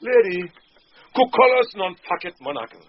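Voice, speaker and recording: A man's voice: high-pitched, animated, moderate pace. A man playing an impudent, witty court fool in a Shakespearean radio drama, teasing with playful riddles and mock logic. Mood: mock-serious teasing. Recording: dull 1990s radio recording, faint music.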